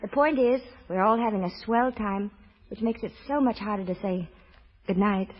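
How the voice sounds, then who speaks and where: A woman's voice: mid-range voice, normal speed. A woman making scripted guest banter on a 1930s radio show. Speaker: guest in a curtain-call chat. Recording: muffled 1930s radio recording.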